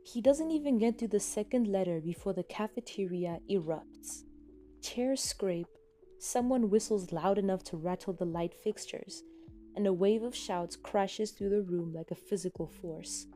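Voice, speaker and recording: A woman reading scripted fan fiction as a dramatic narrator, with expressive, deliberate phrasing. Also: medium pitch, faint music behind.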